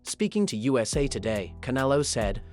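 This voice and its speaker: A man reading scripted sports news narration in an even, steady way. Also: mid-range voice, brisk, soft background music.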